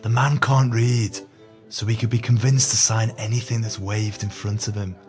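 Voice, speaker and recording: A man's voice: fairly low pitch, little pitch movement, moderate pace, darkish tone, faint music behind, natural voice. An actor playing a greedy, sly male swindler in an audio drama, with pompous, wordy, formal speech. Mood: impatient, scheming.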